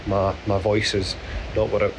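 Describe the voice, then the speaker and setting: A hoarse middle-aged Scottish man talks in a halting, self-deprecating ramble, his voice sitting fairly low. He records outdoors, with clear noise behind him. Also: level tone. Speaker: podcast host. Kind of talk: casual spoken diary. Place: outdoors on a beach.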